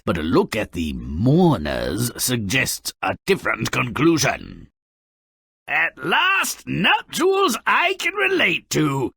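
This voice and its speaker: A man voicing a sardonic, grotesque little dwarf storyteller in a video game, gleefully malicious and melodramatic, with a raspy, gravelly voice and a sneering, mocking delivery. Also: medium pitch, bright, forceful tone, no echo, studio quality, heavily acted. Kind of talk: theatrical video game character narration.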